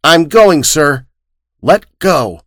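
A man's voice: on the high side, expressive, extremely slow, fairly bright tone, dry recording, voice pushed up, exaggerated voice. Defiant young male researcher, raised and firm, voiced by a male narrator, dry read.

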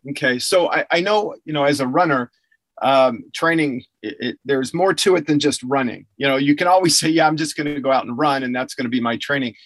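A man's voice: medium pitch, fairly quick, a bit bright, dry recording. A man hosting an interview, chatty and enthusiastic, with many fillers and restarts. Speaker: podcast host interviewing athlete and coach. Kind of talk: casual interview.

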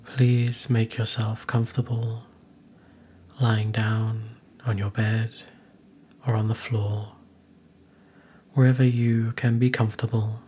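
Male meditation narrator, medium-pitched and unhurried, soothing and soft, over clearly audible ambient noise. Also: little pitch movement. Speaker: meditation guide.

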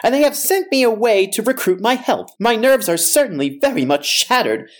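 A vain storybook rocket in a high-pitched, wildly animated voice. The manner is haughty, theatrical and full of self-importance, always ready to take offence. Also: male voice, moderate pace. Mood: self-consoling, haughty. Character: vain, pompous, easily offended firework rocket.

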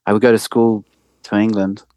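A man from France, a winemaker guest, answering interview questions in a hesitant way with many restarts and soft laughs. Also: lowish voice, flat delivery, normal speed, dry recording. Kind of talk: conversational interview.

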